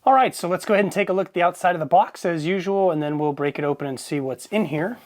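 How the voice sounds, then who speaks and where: A man's voice: high-pitched, quick pace. A man giving a casual, plain-spoken product review, explaining things informally as he goes.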